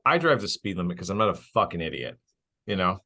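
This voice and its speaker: Low-voiced man, profane casual podcast banter. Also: fast talker. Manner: profane, blunt, joking; animated storytelling with acted-out dialogue. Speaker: podcast co-host. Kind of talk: casual comedic chat.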